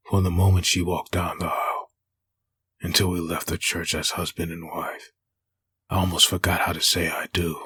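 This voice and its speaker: Man acting a husky, brooding, low-voiced widower-like hero. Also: pushed and bright, dry recording, exaggerated voice. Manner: brooding inner monologue. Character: brooding, lovesick dead husband haunted by his wedding memories. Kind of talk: dramatic comic dub narration.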